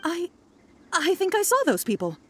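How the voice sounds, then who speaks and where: A woman's voice: very high-pitched, sing-song, moderate pace, background noise. A woman voicing a polite, flustered, apologetic female scholar in a video game, courteous and hesitant.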